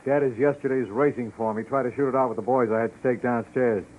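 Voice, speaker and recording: A man as a fast-talking radio police lieutenant. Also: medium pitch, steady tone, natural voice. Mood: brisk, matter-of-fact. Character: brisk, broad-shouldered male police lieutenant, slangy. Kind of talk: 1950s radio drama acting. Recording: tinny, faintly noisy old radio recording.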